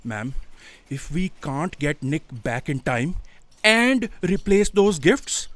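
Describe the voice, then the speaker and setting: A woman's voice: low-pitched, sing-song, slow pace, dry recording, heavily acted. Woman as an anxious, deferential junior aide. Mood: worried. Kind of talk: audio drama acting.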